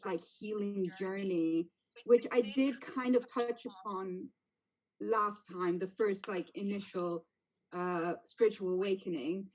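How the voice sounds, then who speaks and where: A soft-spoken woman hosting a live podcast, reflective and unhurried. Her voice sits at a middle pitch, and she fills her sentences with likes and ums. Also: low-quality recording. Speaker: podcast host. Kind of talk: casual live podcast chat.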